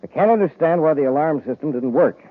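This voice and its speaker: Worried businessman: husky, lively low voice, insistent, 1950s radio drama delivery. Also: fast. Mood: flustered, insistent. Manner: emphatic, insistent. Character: perplexed, worried male company executive. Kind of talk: 1950s radio serial acting. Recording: muffled narrow-band old radio recording.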